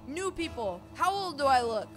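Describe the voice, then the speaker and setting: A woman in her twenties chatting casually on a live stream, reading and answering chat with excitable bursts. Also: high voice, moderate pace. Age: 20s. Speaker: esports co-streamer. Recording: game music under the voice. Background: game music and car sounds.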